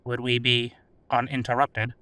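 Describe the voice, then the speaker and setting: A man's voice: mid-range voice, monotone, measured pace, very noisy, natural voice. A man voicing an old artificial intelligence in an audio drama, formal, literal and emotionless in a stilted manner. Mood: cold, formal. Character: old male-voiced artificial intelligence, cold, literal, guarded.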